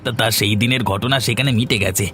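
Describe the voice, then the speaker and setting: A man's voice: medium pitch, quick pace, pushed and bright, soft background music, natural voice. A man playing a sneaky, whining, stammering vegetable thief in a cartoon. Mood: flustered. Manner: stammering, exaggerated. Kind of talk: Bengali cartoon comedy acting.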